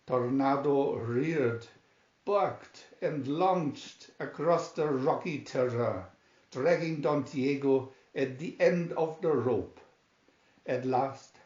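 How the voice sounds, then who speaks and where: Male narrator for picture-booklet story, fairly high pitch, very unhurried, echoey sound. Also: a little mellow. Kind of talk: read-aloud story narration. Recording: dull lo-fi, echoey room.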